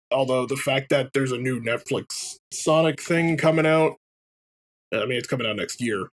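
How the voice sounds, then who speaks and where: A man's voice: medium pitch, a little hiss or hum. A man co-hosting a let's play, talking casually and bluntly in a rambling conversational way. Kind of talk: casual gaming commentary chat.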